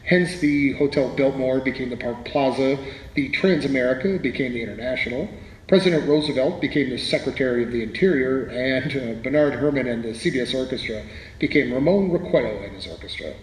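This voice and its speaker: A man's voice: medium pitch, brisk, natural voice. A man playing a wry, literary playwright reminiscing as an interview guest in a radio drama, with hesitant starts. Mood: wry, modest. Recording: echoey hall, faint background noise.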